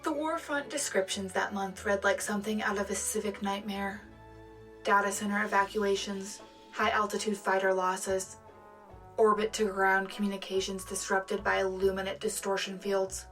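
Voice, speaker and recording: A woman's voice: mid-range voice, fairly even intonation, normal speed, a bit bright, soft background music, natural voice. A woman playing an earnest, patriotic wartime radio co-anchor, reading scripted news in a sincere, dramatic way. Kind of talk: scripted newscast narration.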